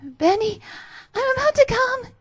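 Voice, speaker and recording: Donna che interpreta una angioletta dei cartoni, acutissima e affannata. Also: female voice, very high voice, very animated, unhurried, a bit bright, voice pushed up, exaggerated voice. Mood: urgent. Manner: breathy, gasping, stammering. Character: breathless, lovestruck female cartoon angel. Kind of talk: melodramatic fan fiction reading.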